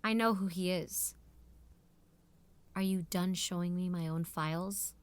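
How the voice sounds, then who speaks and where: A woman's voice: lowish voice, steady tone, a little slow, no room sound, close to an everyday voice. A woman playing a defiant, no-nonsense military strategist in a scripted drama, speaking in a firm, assertive way. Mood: impatient, businesslike. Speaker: voice actor in audio drama.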